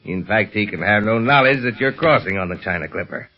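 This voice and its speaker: An American actor playing an earnest, commanding secret police chief in a 1930s radio serial, formal and deliberate in delivery. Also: male voice, fairly low pitch, animated. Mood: earnest, urgent. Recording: dull, band-limited 1930s radio recording.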